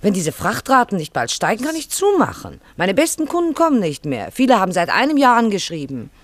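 A woman's voice: mid-range voice, wildly swooping pitch, brisk. An actress playing a shrewd, direct woman trader in a German radio play, with a questioning, businesslike manner. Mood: businesslike worry.

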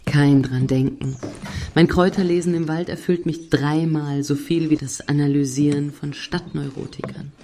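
Prim eco-commune woman, dark mellow timbre, self-righteous, satirical radio play, slight noise. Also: sits low, steady tone, moderate pace. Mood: smug, content. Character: smug eco-commune woman, former addiction therapist.

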